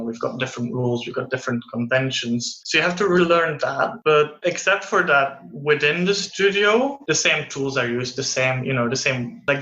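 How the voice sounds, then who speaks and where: A young man from Belgium, a guest in an interview, explaining his work with thoughtful pauses and restarts. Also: mid-range voice, pushed and bright, roomy sound, muffled recording. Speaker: interview guest, VFX artist. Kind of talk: casual interview conversation.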